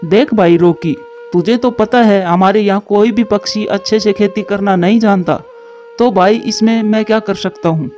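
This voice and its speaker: A man's voice: sits high, normal speed, a little mellow, dull audio, soft background music, close to an everyday voice. A man voicing a helpful, dutiful crow in a children's cartoon fable, speaking earnestly and emphatically. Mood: friendly, explaining. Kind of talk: Hindi cartoon voice acting.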